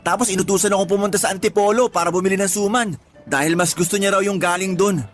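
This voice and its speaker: A man's voice: sits high, steady tone, fast, soft background music, natural voice. An actor playing an exasperated man who complains about his pregnant partner in colloquial radio drama dialogue. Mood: exasperated recounting.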